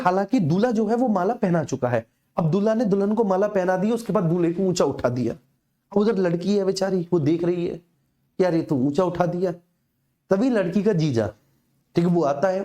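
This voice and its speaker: A man's voice: high voice, moderate pace, mellow. A man teaching an exam coaching class informally, explaining repetitively and addressing his students directly.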